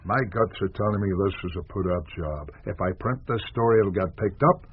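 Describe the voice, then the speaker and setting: An actor playing a skeptical, cautious American newspaper boss in a radio drama, husky and authoritative. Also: male voice, on the low side, expressive. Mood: skeptical, firm. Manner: measured, authoritative. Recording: tinny, narrow 1980s radio recording.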